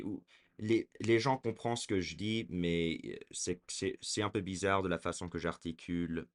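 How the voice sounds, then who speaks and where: Hesitant young man with a very low voice. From raised in America. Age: 20s. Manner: hesitant, many fillers and restarts. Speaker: vlogger. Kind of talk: casual talking to camera.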